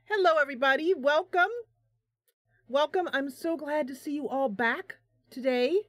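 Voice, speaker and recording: A woman's voice: high-pitched, moderate pace, fairly bright tone, dry recording, studio quality. A woman hosting a live stream, chatting casually with viewers in a bubbly, talkative way. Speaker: live stream host, gamer.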